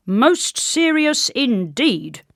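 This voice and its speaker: A woman's voice: sits high, sing-song, extremely slow, vocal fry, fairly bright tone, no echo, clean studio sound, voice pushed up, heavily acted. A woman voicing a gloomy, stern male soldier from a storybook, solemn and emphatic. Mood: grave.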